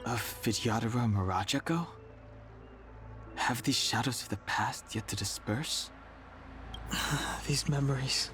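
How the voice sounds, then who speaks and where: A man's voice: medium pitch, measured pace, pauses often, a bit bright, a little hiss or hum, close to an everyday voice. A man voicing a reserved, brooding young man in a video game, speaking in a subdued, terse way. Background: game music.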